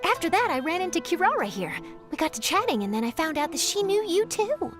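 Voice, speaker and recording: A perky young female reporter in an anime game. Her voice is very high and lively, chatting eagerly with music clearly behind her. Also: a little fast. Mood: earnest. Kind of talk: anime-style game voice acting.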